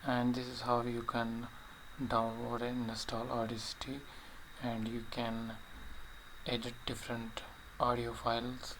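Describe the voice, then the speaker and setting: A man narrating a step-by-step software tutorial in short, halting, plainly instructional phrases. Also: medium pitch, completely flat delivery. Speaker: tutorial presenter. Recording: clearly noisy voice-over recording.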